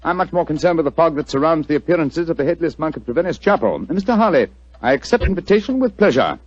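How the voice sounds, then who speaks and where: Gentleman detective, British accent, inquisitive, courteous, precise diction, animated intonation, muffled 1940s radio drama. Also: a little high. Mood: decisive. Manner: precise, deliberate, polite. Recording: muffled old radio recording.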